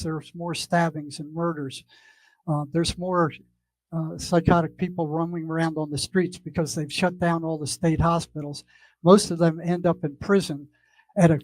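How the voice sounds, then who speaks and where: A man's voice: on the high side, darkish tone, dry recording, rough recording. An older man with an American accent giving an informal lecture in an emphatic, opinionated way, repeating points for stress. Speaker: speaker, former mental health worker.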